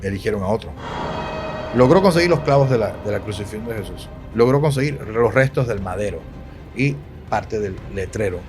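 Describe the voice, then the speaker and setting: Cuban guy in his 40s, priest on a podcast, low voice, leans hard on words and says them twice. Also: animated, a little fast, over music. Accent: Cuban Spanish. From Cuba. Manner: emphatic, preachy, repeats key words. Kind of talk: podcast interview.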